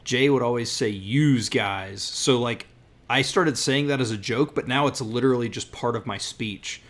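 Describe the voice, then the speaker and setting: A man's voice: mid-range voice, normal speed, a bit bright. A man from the American South hosting a casual language podcast, rambling with frequent restarts and laughs. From Southern United States. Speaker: podcast host. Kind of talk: casual chat.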